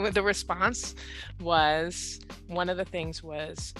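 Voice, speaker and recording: A woman's voice: on the low side, extremely slow, music in the background. A woman in her thirties, a writer being interviewed over a video call on a headset mic at home, talking candidly and laughing at herself. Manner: candid, self-deprecating, laughs often. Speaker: guest writer. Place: home room with bookshelves.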